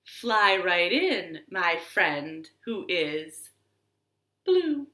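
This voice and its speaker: A woman reading stories and rhymes aloud to young children in an expressive, deliberate way, recorded in a living room. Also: fairly low pitch. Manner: expressive, deliberate, asks the listeners questions. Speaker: children's librarian storyteller. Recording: roomy, echoing living-room sound.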